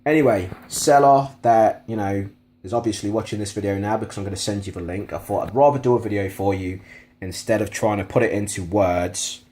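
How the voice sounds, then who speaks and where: A man's voice: on the low side, a little fast, a little mellow. British man, plain-spoken product reviewer. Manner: plain-spoken, matter-of-fact complaining. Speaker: product reviewer on a video channel.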